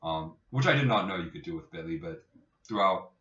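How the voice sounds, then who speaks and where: A man co-hosting a casual tech podcast as an app developer, explaining things in an informal, jokey, halting way. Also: sits low, lively intonation, a bit bright. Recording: echoey room, distant muffled mic.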